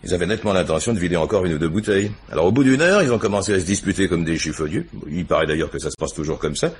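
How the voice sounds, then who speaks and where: Fast-talking, deep-voiced police inspector. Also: male voice, expressive, husky. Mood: matter-of-fact. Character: matter-of-fact police inspector reporting to his boss. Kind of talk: 1950s-style French radio mystery acting.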